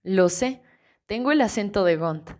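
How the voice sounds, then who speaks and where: A woman reading the lines of a calm, wry older male archmage, in an easygoing, gently teasing way, in an audiobook. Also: medium pitch, measured pace, close to an everyday voice.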